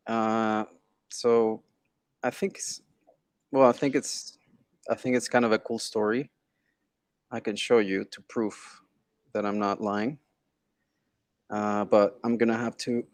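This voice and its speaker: A man's voice: fairly low pitch, flat delivery, a little slow, long pauses, no room sound, studio quality. A man being interviewed on a video call, talking casually and often checking in with little tag questions. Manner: informal, often checking with "right?" and "you know?". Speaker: interview guest, tech entrepreneur.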